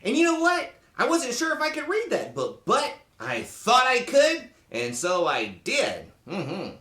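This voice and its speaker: A woman performs a lovable mule character for a children's video. The mule is folksy, affectionate and encouraging, with bouncy, wide-ranging pitch. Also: wildly swooping pitch, unhurried, a bit bright, echoey room, heavily acted. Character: friendly, folksy male mule book lover. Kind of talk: cheerful sign-off to child viewers.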